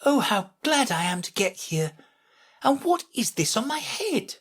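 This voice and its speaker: A woman's voice: medium pitch, big pitch swings, normal speed, husky, pitched above the performer's own voice. A woman voicing an eager, polite, earnest little girl who likes to argue, in a children's storybook. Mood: excited wonder.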